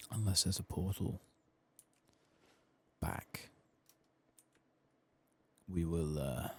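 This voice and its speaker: A man doing soft-spoken ASMR game commentary, husky and breathy, often slipping into a whisper, with casual, self-mocking muttering. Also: sits low. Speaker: host of a game playthrough.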